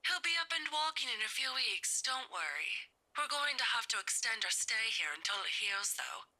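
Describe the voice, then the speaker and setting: An actress playing a brisk, matter-of-fact middle-aged mother in a visual novel. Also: fairly high pitch, very animated, bright, forceful tone, heavily acted.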